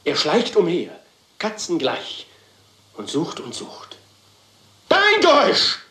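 Crime comedy: grandiose male stage director, theatrical and self-important, high register, very wide intonation. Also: wildly swooping pitch, slow, pushed and bright, roomy sound, exaggerated voice. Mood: melodramatic. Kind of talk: dubbed German crime comedy acting.

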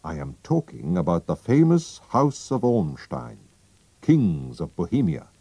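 A man's voice: deep voice, wildly swooping pitch, measured pace, dark tone, slightly rough, no room sound, heavily acted. A man reading a storybook aloud and voicing a proud, anxious king with a formal, stiff and urgent manner. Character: Bohemian king, tall, proud, anxious, middle-aged man.

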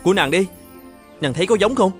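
A man voicing an earnest, evasive married painter in an animated folktale, with a polite and hesitant manner. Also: sits high, fast, soft background music. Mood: courteous.

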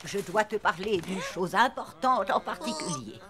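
A woman's voice: mid-range voice, animated, normal speed, natural voice. A woman voicing a strict older schoolteacher in a cartoon, authoritative and sing-song. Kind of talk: animated cartoon dubbing in French.

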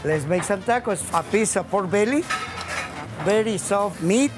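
A man's voice: high-pitched, unhurried. Middle-aged man, market owner, friendly, plain talk, some ums, lapel mic, music underneath. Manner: plain, friendly, hesitant fillers. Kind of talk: casual interview. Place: grocery store and restaurant kitchen.

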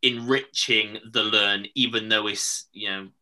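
Male host, 30s, bright forward tone, chatty and loose, video-call audio. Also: lowish voice, steady tone, unhurried, low-quality recording. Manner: chatty, laughs often, rambling restarts. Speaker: podcast host. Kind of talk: casual video-call chat. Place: home room, indoors.

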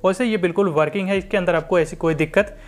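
A man's voice: sits high, fast talker. A young man with an Indian accent, narrating a hands-on tech review in an informal, explanatory way. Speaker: tech reviewer, channel host.

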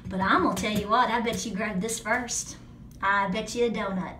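A woman's voice: medium pitch, fairly even intonation, normal speed, roomy sound. A woman hosts a casual homesteading product review vlog, talking straight to viewers in a chatty, emphatic way from a small bathroom, recorded on a handheld phone. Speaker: homesteading channel host.

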